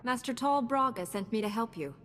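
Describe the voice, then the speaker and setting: A woman's voice: on the high side, animated, fairly quick, a bit bright, faint music behind. An actress playing a young, composed female Jedi hero, cool and self-assured, in a video game. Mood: cool, inquiring. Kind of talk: scripted game dialogue.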